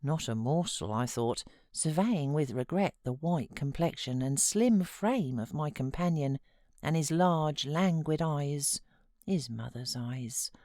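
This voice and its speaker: A woman with a British accent giving measured, expressive literary narration as an audiobook reader. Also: low voice, dry recording, clean studio sound.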